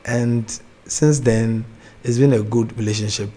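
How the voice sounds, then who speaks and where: A young Ghanaian man with a Ghanaian accent, a gospel artist chatting as an interview guest, soft-spoken, laughing easily and often restarting his sentences. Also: mid-range voice, steady tone, a little slow. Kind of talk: casual interview chat.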